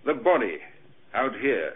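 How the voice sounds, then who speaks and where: A man's voice: medium pitch, very animated, a little slow. A man playing a mild, respectful police detective in an old radio drama, with a musing manner. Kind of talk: 1950s radio drama acting. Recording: muffled old radio recording.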